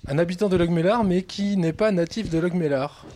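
A man interviewing a guest in a casual talk show recorded in a village bar, asking questions in a relaxed, friendly way. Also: high voice, brisk.

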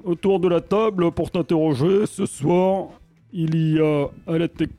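A man's voice: fairly high pitch, fairly even intonation, a little slow, warm tone, no room sound. A man opening a small casual talk show in a village bar, introducing the guest and panel in a slightly formal way. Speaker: host.

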